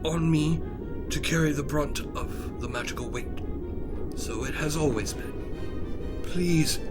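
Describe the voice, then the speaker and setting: A man's voice: fairly high pitch, takes it slowly. A man playing an ancient, weary, dutiful wizard in an audio drama, speaking in formal, grandly archaic phrases. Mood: grave. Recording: music playing behind.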